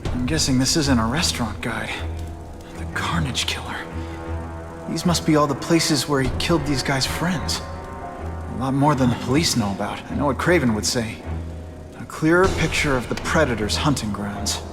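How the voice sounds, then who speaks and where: An actor playing a wisecracking, earnest young superhero in a video game, talking in a casual, quippy way. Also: male voice, medium pitch, moderate pace, natural voice. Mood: wry, musing. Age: young adult. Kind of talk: video game cutscene acting. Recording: music behind the voice.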